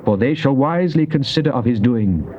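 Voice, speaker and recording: An actor playing a devout, fervent psalmist, declaiming in a solemn, measured way in a dramatized scripture reading. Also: male voice, medium pitch, animated, lightly acted. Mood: pleading, grave. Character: devout psalmist, fervent man praying to God. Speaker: scripture reader. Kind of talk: dramatized King James Bible reading. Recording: muffled old cassette with faint hiss.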